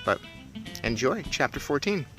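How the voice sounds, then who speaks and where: Low-voiced, animated woman reading a story. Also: normal speed, soft background music. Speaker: story reader. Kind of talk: expressive children's audiobook-style read-aloud.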